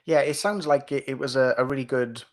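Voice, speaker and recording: Guy hosting a podcast, mid pitch, easygoing chat, few stumbles. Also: fairly even intonation, brisk. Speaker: podcast host. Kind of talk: podcast interview.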